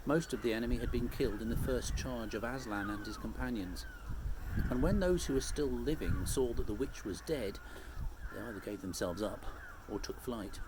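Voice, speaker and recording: A man reading a children's story aloud like a teacher, in an expressive storytelling style. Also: mid-range voice, normal speed, noisy background.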